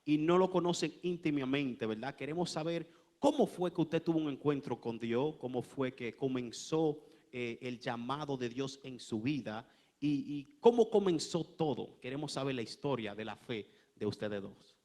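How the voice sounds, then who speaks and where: A man's voice: medium pitch, normal speed, darkish tone. A man interviews a pastor couple in church, asking about their faith and often breaking in with short affirmations. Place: church sanctuary.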